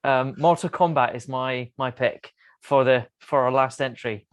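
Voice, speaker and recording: A man on a podcast panel chatting casually about films in a hesitant, halting way. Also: mid-range voice, no echo. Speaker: podcast panellist, show regular. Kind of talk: casual film chat.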